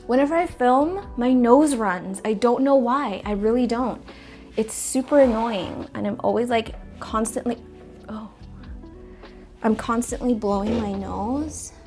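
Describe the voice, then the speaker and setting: A woman's voice: on the high side, mellow. A sniffly woman chatting casually and rambling a little while she rearranges houseplants in her apartment. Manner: chatty, rambling, self-correcting. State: sniffly, stuffy nose. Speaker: plant vlogger. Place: apartment plant room.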